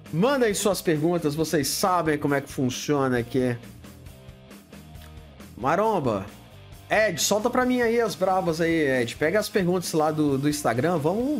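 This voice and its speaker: A man in his fifties with a Brazilian Portuguese accent, hosting a casual live stream from a home studio, answering viewer questions bluntly and greeting people by name. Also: on the high side. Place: home studio room lit with colored LEDs.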